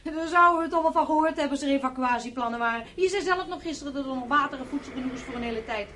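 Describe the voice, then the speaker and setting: A woman's voice: high-pitched, racing, a bit bright. A tired wife and mother in a radio drama. She scolds and nags, dismissive and full of doubt. The old recording carries faint noise behind her. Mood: scornful, disbelieving. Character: tired, nagging, sceptical wife and young mother. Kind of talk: naturalistic Dutch radio play acting.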